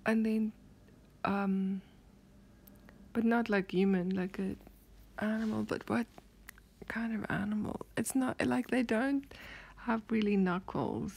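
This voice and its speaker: A woman with a South African accent rambling softly through a strange dream, often half-whispering and hesitating with many fillers. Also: medium pitch, slow pace, vocal fry, pauses often, husky. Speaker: vlogger.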